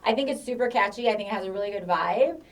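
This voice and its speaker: A woman's voice: mid-range voice, quick pace, distant mic. A woman interviewing a guest in a casual, friendly, encouraging way.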